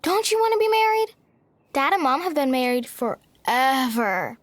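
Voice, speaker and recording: Very high, cheeky little kid. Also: female voice, very animated, normal speed, no echo. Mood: blunt, insistent. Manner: blunt, matter-of-fact, cheeky. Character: blunt, cheeky young island child.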